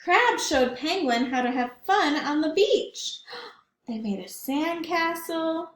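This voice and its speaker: A woman's voice: high voice, animated, takes it slowly, reverberant. A woman teacher reading a picture book aloud to children, often stopping to ask them questions. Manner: warm, questioning, child-directed.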